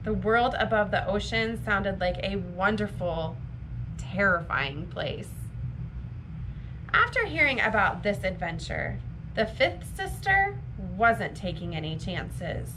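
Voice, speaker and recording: Slow-paced woman reading a storybook aloud. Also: mid-range voice, very animated, noisy background. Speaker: library storytime reader. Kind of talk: expressive storybook reading for children.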